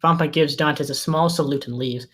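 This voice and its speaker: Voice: mid-range voice, fairly even intonation, moderate pace, a bit bright, breathy edge. A narrator reading scene descriptions and stage directions from a screenplay at a table read.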